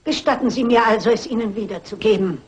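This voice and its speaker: A woman's voice: a little high, fast talker, heavy background noise, natural voice. An actress playing a shrewd, composed mature lady sleuth in a German radio crime drama, dry, precise and dignified, in a dull old recording. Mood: calm, accusing.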